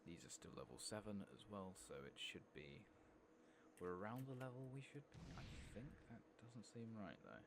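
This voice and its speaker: A soft-spoken man giving casual, unhurried ASMR commentary on a video game, sometimes dropping into a whisper. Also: on the low side, expressive, background noise. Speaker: gaming channel host.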